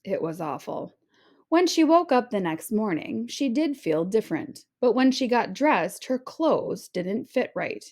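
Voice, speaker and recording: A woman reading a children's picture book aloud as a teacher would, with dramatic emphasis and drawn-out key words. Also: a little high, sing-song, a little mellow. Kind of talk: expressive scripted storybook narration.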